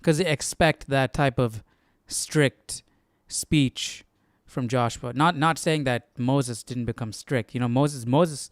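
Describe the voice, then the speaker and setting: A man's voice: medium pitch, slow pace, hardly any pauses, dry recording, clean studio sound. A man hosting a casual live Bible study, musing aloud and often checking with a questioning tag. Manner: musing, tags sentences with "right?". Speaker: host.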